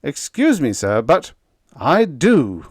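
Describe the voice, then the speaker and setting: A man voicing a mild, polite young lieutenant who speaks gently and calmly. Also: medium pitch, slow pace, slightly rough, dry recording, studio quality, pitched above the performer's own voice, exaggerated voice.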